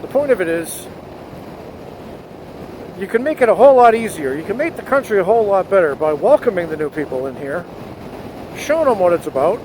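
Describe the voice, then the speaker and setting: A man's voice: high-pitched, big pitch swings, a little fast, pauses often. A man from the United States giving an earnest spoken essay while riding his motorcycle, speaking into a helmet headset mic. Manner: earnest, rising to patriotic fervor. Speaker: motovlogger. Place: on a motorcycle outdoors. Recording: wind noise on helmet mic.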